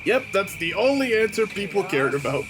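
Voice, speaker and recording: A man's voice: high voice, sing-song, normal speed. A man giving casual, joking game commentary in a sarcastic, mocking way. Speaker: let's play commentator. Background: loud game music.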